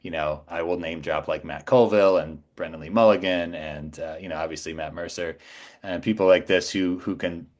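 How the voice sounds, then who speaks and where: A man's voice: deep voice, fairly even intonation, brisk, a little mellow. A man chatting casually as a guest on a podcast, talking in an enthusiastic, emphatic storytelling way. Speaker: guest voice actor and audiobook narrator.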